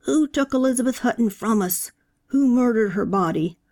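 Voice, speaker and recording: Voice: mid-range voice, animated, moderate pace, darkish tone, voice pushed up, lightly acted. A narrator voicing a fervent elderly male preacher who fires rhetorical questions at a funeral gathering. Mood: preaching. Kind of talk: audiobook character voice.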